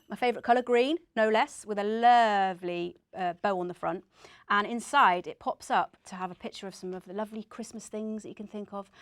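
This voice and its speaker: A woman's voice: mid-range voice, dry recording. Upbeat woman chatting to kids. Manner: upbeat and enthusiastic. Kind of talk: chatty address to children.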